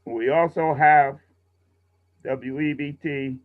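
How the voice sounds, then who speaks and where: A man's voice: a little high, fairly even intonation, takes it slowly. A man instructing a class in a casual, patient, step-by-step way over a video call. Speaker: instructor leading a radio training class. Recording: muffled, roomy video call audio.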